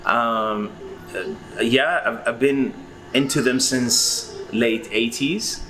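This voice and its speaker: A man's voice: mid-range voice, slow pace, a bit bright, low-quality recording. A man in his forties from Iraq, a record-collector guest who talks through his story in a rambling, hesitant way with lots of fillers. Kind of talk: casual interview answers.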